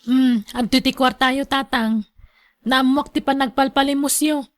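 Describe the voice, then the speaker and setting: A woman's voice: high voice, steady tone, a little slow. A woman playing a concerned younger daughter in a radio drama, earnest and imploring. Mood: pleading. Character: concerned younger woman pleading with an elderly father. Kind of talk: Ilocano radio drama acting.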